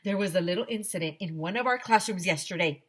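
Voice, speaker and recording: A woman's voice: on the low side, quick pace, lightly acted. Storybook school principal, male figure, played by a woman, declamatory, emphatic, assembly announcements. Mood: serious, announcing.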